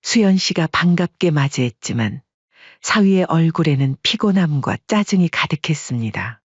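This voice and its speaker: A steady narrator tells a family drama in a calm, even way. The voice is husky and mid-pitched. The recording is dry and a bit dull. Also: moderate pace, dry recording. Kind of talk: scripted storytelling narration.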